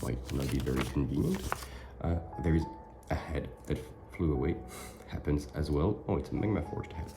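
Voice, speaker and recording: A low-pitched man hosts a casual miniatures unboxing, sounding slightly sick and not fully clear. He talks things through loosely, fixing his words as he goes. Music plays clearly underneath his voice. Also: lively intonation, moderate pace. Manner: chatty, thinks aloud with small self-corrections. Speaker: wargaming channel host. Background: background music.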